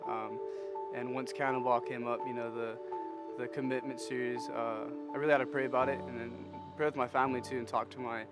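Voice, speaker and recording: Fast, flat-voiced young man over guitar. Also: medium pitch. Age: 20s. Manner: hesitant, many fillers and restarts. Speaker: church member giving testimony. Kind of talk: testimony interview.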